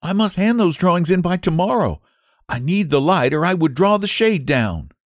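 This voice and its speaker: A man voicing a caring young woman artist, brisk and urging. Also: high voice, animated, no echo, clean studio sound, voice pushed up. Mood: fond.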